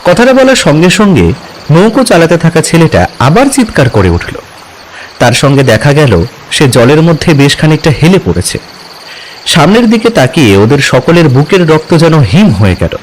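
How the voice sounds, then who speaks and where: A man's voice: fairly high pitch, sing-song, fairly quick, a little hiss or hum, rough recording. A man narrating adventure fiction as a dramatic, scripted audio story.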